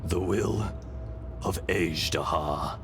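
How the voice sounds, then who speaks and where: A man voicing an ancient, calm and regretful dragon spirit in a video game, speaking gravely and deliberately. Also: sits low, music in the background, heavily acted. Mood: solemn, calm. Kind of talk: scripted video game voice acting.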